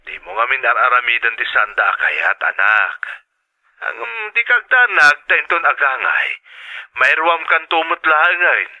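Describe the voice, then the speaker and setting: A man playing a loving, reassuring middle-aged father in a radio drama, gently advising through a telephone filter with an Ilocano accent. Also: mid-range voice, big pitch swings, measured pace, dry recording. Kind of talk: Filipino radio drama acting. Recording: thin phone-call sound.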